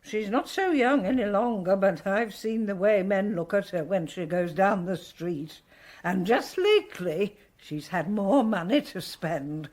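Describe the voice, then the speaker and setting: A blunt old-house servant in a radio play, gossipy and defensive, medium pitch. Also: female voice, animated, unhurried, darkish tone. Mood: grudging, gossipy. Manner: defensive, tart. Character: blunt, grudging, gossipy female villa servant, long in service. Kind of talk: radio drama acting.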